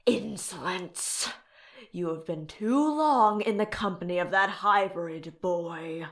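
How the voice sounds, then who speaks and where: Woman playing a haughty, slow-spoken council elder. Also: fairly low pitch. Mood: scornful. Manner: imperious, contemptuous. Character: haughty, impatient, scornful female council elder. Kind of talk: fantasy audio drama acting.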